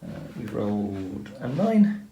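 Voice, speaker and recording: A man's voice: fairly low pitch, big pitch swings, takes it slowly, reverberant, lo-fi sound, slight background noise. A man methodically explaining each step of a tabletop wargame turn, talking through the rules as he plays. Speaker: wargame player explaining turns. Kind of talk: tabletop game commentary.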